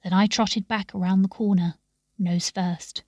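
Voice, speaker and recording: A woman reading a story aloud in a measured, expressive way, with phrases that often sink into a hush. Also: medium pitch, no echo. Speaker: story reader. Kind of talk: scripted storytelling narration.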